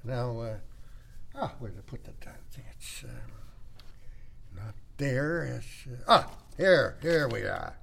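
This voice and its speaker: A man's voice: mid-range voice, expressive, unhurried, vocal fry, long pauses, fairly bright tone, slight background noise. An actor playing a sentimental, dotty older retired man in a satirical audio drama, rambling and old-fashioned in manner. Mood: muddled.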